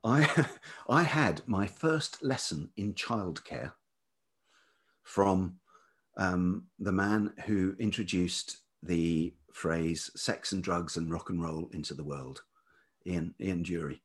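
Interview guest: middle-aged male, low-pitched and velvety with some rasp, reflective and halting in delivery. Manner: hesitant, piecing thoughts together. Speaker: podcast guest, writer and producer. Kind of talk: reflective anecdotal interview talk.

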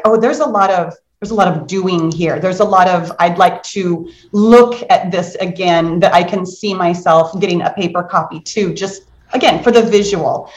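A woman hosting a casual live book discussion, talking in an animated, conversational way and inviting the group to join in. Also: medium pitch, dull audio. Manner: animated, conversational, encouraging audience participation. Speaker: book club host. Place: indoor room on a group video call. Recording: roomy echo.

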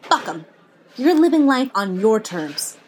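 An actress playing a brash, blunt comedian friend in a naturalistic audio drama, sarcastic, punchy and profane. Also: female voice, on the high side, very animated, unhurried, darkish tone. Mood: forceful, rallying.

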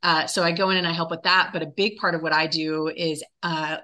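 A woman speaks as an interview guest with a bright, forward voice pitched a little low. She explains her work as a director in a conversational way. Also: flat delivery, a little fast.

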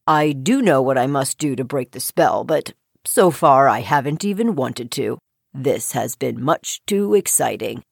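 A woman voicing a calm, cheerful male talking frog with an unhurried manner in a children's story. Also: very deep voice, vocal fry, breathy edge, dry recording, clean studio sound, voice pushed down, full character voice. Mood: content.

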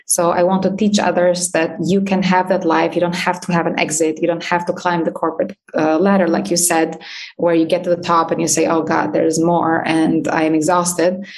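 A woman's voice: on the low side, level tone, normal speed. A woman in her thirties, raised in Albania, speaking as a guest in a podcast interview, with frequent fillers and light laughs. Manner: warm, many fillers, laughs while talking. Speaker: guest, startup founder. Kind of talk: interview conversation.

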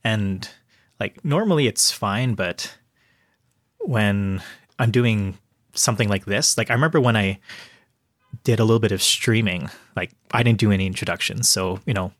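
Canadian accent, man, lowish voice, rambling casual talk, lots of fillers, dry close sound. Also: moderate pace, dry recording. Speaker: podcast host. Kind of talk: casual chat.

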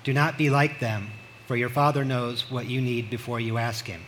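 A man's voice: medium pitch, steady tone, normal speed, a bit bright. A man with a mid-range voice reads scripture aloud. There is a light layer of ambient noise in the recording. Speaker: scripture reader. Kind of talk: read-aloud Bible passage. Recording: faint background ambient noise.

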